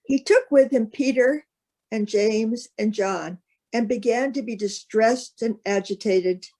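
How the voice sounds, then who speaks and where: A woman reading scripture as narrator, in a measured, formal way. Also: a little high, a little mellow.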